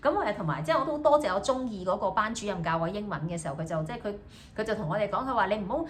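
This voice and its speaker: A young woman from Hong Kong, a native Cantonese speaker, talking casually in a video diary. She speaks with a Hong Kong accent, hesitates often, fills pauses, and repeats each thought in English and then again in Cantonese. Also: medium pitch, animated. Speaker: student.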